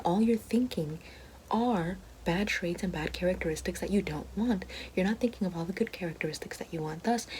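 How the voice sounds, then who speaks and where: A woman playing a bitter, sassy fallen evil fairy working a help line, in a breathy whispered ASMR roleplay with slangy sarcasm. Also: slight background noise, lightly acted, low-quality recording. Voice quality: breathy whisper mixed with soft low speech.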